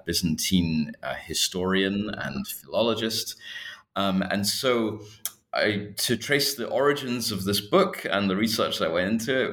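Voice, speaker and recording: A man from Scotland with a low voice talks as a scholarly interview guest. He explains at length in a conversational way. His delivery is measured and somewhat hesitant, with digressions along the way. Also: fairly bright tone. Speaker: guest academic, history professor.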